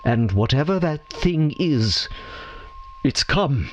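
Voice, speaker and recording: Slow, portentous drama narrator. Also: male voice, on the high side, expressive, darkish tone, soft background music. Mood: ominous, suspenseful. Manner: measured, portentous. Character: solemn, dramatic male radio drama narrator. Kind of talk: scripted old-time radio narration.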